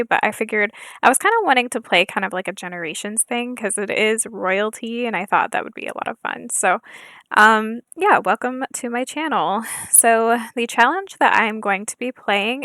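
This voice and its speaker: Soft-spoken hesitant young woman. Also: a little high, normal speed, no room sound, clean studio sound. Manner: hesitant, soft-spoken, many fillers and apologies. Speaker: gaming channel host. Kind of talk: casual gameplay chat with read-aloud challenge text.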